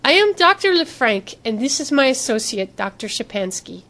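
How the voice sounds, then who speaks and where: Woman voicing a smooth, authoritative female doctor. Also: high-pitched, sing-song, moderate pace, a bit bright, exaggerated voice. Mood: smooth, courteous. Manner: polite, smooth, formal.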